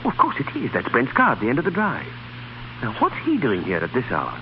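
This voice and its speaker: A gentle man courting, quick in speech with very wide pitch movement. It is 1930s radio drama on a muffled recording. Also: wildly swooping pitch, slight background noise. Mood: hushed, tender.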